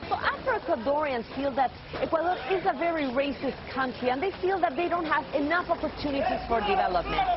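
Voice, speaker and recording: A woman explaining things clearly in an interview. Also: sits high, muffled recording.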